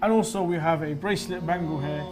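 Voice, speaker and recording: A man's voice: on the high side, quick pace, poor audio. A man whose first language is Urdu, co-hosting a live charity broadcast and running through donation packages with frequent fillers. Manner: listing items with frequent fillers. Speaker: host. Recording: music in the background.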